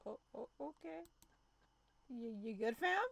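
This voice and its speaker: A woman's voice: fairly high pitch, expressive, measured pace, gravelly, husky. A woman streaming a game, giving casual commentary and reading bits of the text aloud.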